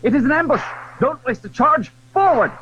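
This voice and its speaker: Man as high, shouting gallant musketeer. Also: animated, normal speed, dull audio, full character voice. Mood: alarmed, commanding. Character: wounded but gallant musketeer shouting orders under fire. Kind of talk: audio drama acting of a classic adventure novel.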